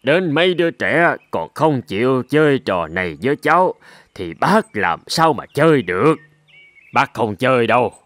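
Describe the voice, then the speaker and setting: An actor voicing a stern, weary old sheep elder in an animated fable, firm and condescending toward the young. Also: medium pitch, normal speed, dry recording. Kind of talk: Vietnamese animated fable dialogue.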